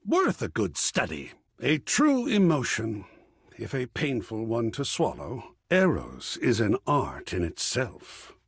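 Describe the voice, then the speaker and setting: Gushing living artwork, video game character, affected and theatrical, unhurried, dry close sound, flamboyant aesthete. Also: male voice, medium pitch, huge pitch swings, slightly rough, no echo, exaggerated voice. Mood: reverent, appraising. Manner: theatrical, affected, effusive, savouring words. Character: flamboyant, gushing, art-obsessed artwork come to life, male.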